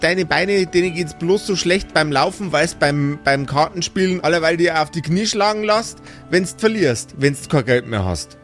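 Ein Mann als nörgelnde bairische Zwergenmutter. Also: male voice, fairly high pitch, moderate pace, few pauses, a bit bright, faint music behind, natural voice. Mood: scolding. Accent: Bavarian. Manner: nagging, earthy, sarcastic. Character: gruff, scolding old dwarf mother.

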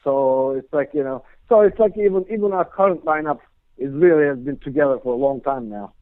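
A man's voice: medium pitch, a little fast, no echo. A man, a guest guitarist chatting in an interview, rambling with lots of "you know" and "like". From Turkey. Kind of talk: casual interview chat. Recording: dry tinny phone line.

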